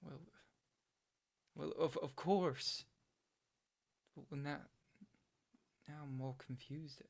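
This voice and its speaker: Halting, guilt-ridden country young man played by an actor. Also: fairly high pitch, fairly even intonation, vocal fry. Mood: agitated, insistent. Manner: halting, stammering, repeating words. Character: troubled, guilt-ridden, literal-minded rural young man. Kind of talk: stage play reading.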